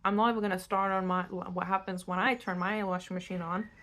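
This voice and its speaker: A woman's voice: medium pitch, steady tone, fairly quick, fairly bright tone. Casual, straight-talking woman. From Lithuania. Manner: blunt, conversational, addresses the listener directly. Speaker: tarot reader hosting her own channel. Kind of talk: unscripted tarot reading to camera, casual. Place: indoors at home in a new-build house.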